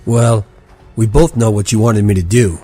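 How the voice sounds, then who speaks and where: A man playing a proud, defiant swordsman in an audio drama, husky and bitter as he challenges his elder. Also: lowish voice, steady tone, moderate pace, dark tone, soft background music. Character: wounded-proud, defiant swordsman confronting his ruthless father. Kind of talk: audio fiction character acting.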